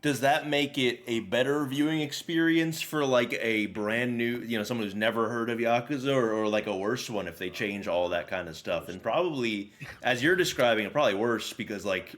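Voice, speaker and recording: A young American man hosting a stream, chatting casually in an easygoing, halting way. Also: mid-range voice, a bit bright. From United States. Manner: easygoing, halting, lots of fillers and laughs. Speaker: streamer host. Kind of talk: casual chat.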